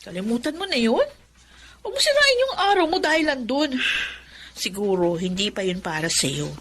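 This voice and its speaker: A loyal young woman in a radio drama. She has a fairly high voice and speaks in a coaxing, reassuring way, like a caring friend. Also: sing-song, moderate pace, fairly bright tone. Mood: encouraging.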